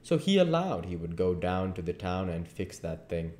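A man's voice: sits low, very animated, moderate pace, darkish tone. A man in his thirties reading a novel aloud as narrator, recorded at home in an echoey living room. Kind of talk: audiobook-style story reading.

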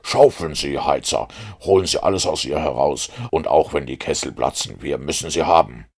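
A man's voice: very deep voice, normal speed, gravelly, a little mellow, husky, heavily acted. A man voicing a commanding, driven detective with an emphatic delivery in an audiobook. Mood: fierce, urgent.

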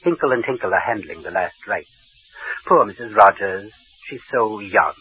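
A fussy, ghoulish undertaker with an unctuous, prim delivery. His husky voice swoops up and down. The sound is a dull 1940s radio recording. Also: fairly low pitch, expressive, moderate pace. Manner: unctuous, prim, sing-song. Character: fussy ghoulish undertaker man, middle-aged.

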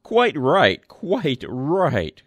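A man's voice: fairly low pitch, sing-song, slow pace, a bit bright, no room sound, heavily acted. A man voicing a hearty middle-aged office boss who talks in a bluff, emphatic way. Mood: curt.

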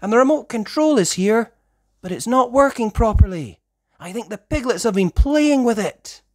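A storybook reader voicing an excitable young female rescue puppy in a squeaky voice. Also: moderate pace, no echo, studio quality, natural voice. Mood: alarmed. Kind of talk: children's storybook character voice.

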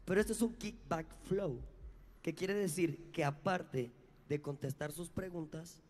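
A man hosting a live event and slowly explaining the round's rules to the audience on a large indoor stage. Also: a little high, darkish tone. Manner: slow, explanatory. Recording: reverberant hall on live stage mic.

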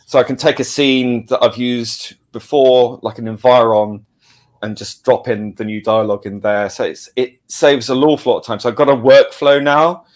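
A man's voice: mid-range voice, normal speed. A man talking casually in an interview as an audio drama producer, enthusiastic and often restarting his phrases.

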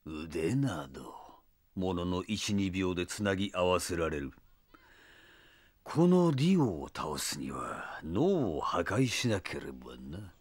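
An actor playing a cruel, arrogant immortal villain in an audio drama, pompous and sneering in a theatrical way. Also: male voice, low voice, big pitch swings, takes it slowly, slightly rough, full character voice. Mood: cold, smug. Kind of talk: anime-style audio drama acting.

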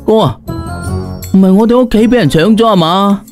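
A man's voice: sits high, moderate pace, music in the background, voice pushed up, close to an everyday voice. A man voicing a timid, eager male sidekick with an anxious, questioning manner and a Cantonese accent. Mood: frightened.

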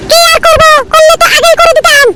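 A man as a squeaky, very high-pitched cartoon wife. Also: level tone, fast talker, far from the mic. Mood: defiant. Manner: sharp, insistent, pleading. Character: scolding, defiant village wife and motherly aunt. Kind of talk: comic cartoon dialogue.

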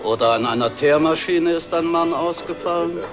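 An actor playing a sarcastic, impatient gentleman guest in a 1960s TV drama. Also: on the high side, moderate pace, over music, natural voice. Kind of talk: 1960s German TV drama acting. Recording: old TV film sound.

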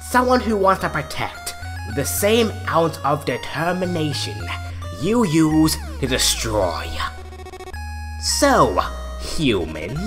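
A man voicing a betrayed skeleton hero turned grimly vengeful, speaking theatrically with dramatic pauses in a comic dub. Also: a little high, heavily acted. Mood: vengeful, intense. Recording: music behind the voice.

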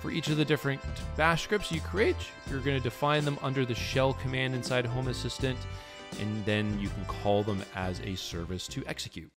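A man's voice: mid-range voice, normal speed, over music. A man, explaining technology as the host of a tutorial video. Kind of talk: explanatory tech tutorial, presenting to camera and in voice-over.

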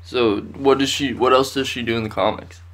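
A young man speaks as co-host of an informal chat show. His voice sits at a medium pitch. He is laid-back and a little tentative as he speculates and asks questions from a room at home. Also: steady tone, moderate pace, fairly bright tone. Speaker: co-host of a pop culture news show.

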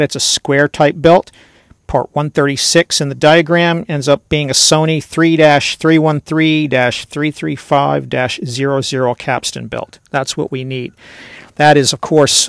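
Casting: middle-aged male tutorial host, conversational and methodical, creaky mid-pitched voice. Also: dry recording. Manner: conversational, step-by-step explaining. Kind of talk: casual hands-on instructional narration.

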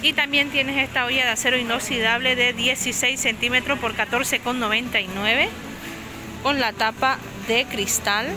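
A woman's voice: fairly high pitch, steady tone, normal speed, noisy background. A woman filming on her phone in a supermarket, chatting casually as she lists prices and sizes and corrects herself. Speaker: shopping vlogger. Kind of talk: casual store walkthrough narration. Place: inside a large discount supermarket. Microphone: handheld phone camera. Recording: music playing under the voice. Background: background music.

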